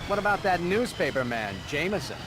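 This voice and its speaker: A man's voice: high-pitched, big pitch swings, fairly quick, background noise. An actor playing a boastful, theatrical showman villain with a grudge in a video game. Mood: smug, challenging. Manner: pompous, theatrical.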